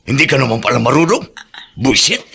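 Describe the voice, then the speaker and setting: An actor playing a scornful, gruff male customer in a Filipino radio drama, husky and exasperated, with a Filipino accent. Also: medium pitch, animated, brisk, sharp tone, full character voice. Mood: indignant. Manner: exasperated, belittling complaints.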